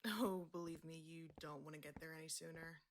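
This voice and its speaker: A woman's voice: deep voice, fairly even intonation, fairly quick. Wry, breezy woman patient. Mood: teasing. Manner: sarcastic, casual. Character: wry, breezy fellow patient showing a newcomer around. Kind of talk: audio drama acting.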